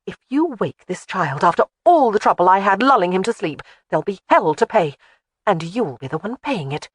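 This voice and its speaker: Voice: medium pitch, animated, moderate pace, no echo, clean studio sound, lightly acted. The audiobook narrator voicing a protective young woman who scolds firmly. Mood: scolding. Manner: scolding, firm. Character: fierce, protective young woman caring for a baby.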